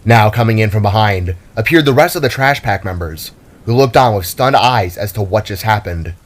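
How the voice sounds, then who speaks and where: A man's voice: fairly low pitch, expressive, moderate pace. A man narrating a scripted fantasy story in a dramatic storytelling style.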